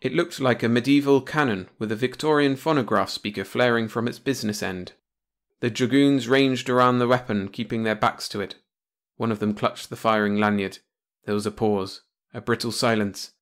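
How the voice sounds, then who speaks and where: A man narrates a scripted story for an audiobook. His voice sits at an average male pitch as he reads the prose. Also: normal speed.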